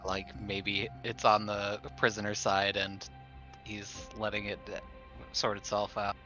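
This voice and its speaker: A man's voice: fairly low pitch, completely flat delivery, unhurried, bright, forceful tone, faint music behind. A man playing a tabletop game, casually talking through plans on a dull recording.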